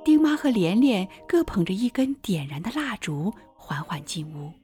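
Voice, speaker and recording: A woman's voice: mid-range voice, very animated, moderate pace, mellow, lightly acted. A woman narrating a scripted radio drama in a storytelling style. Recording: music bed under voice. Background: background music.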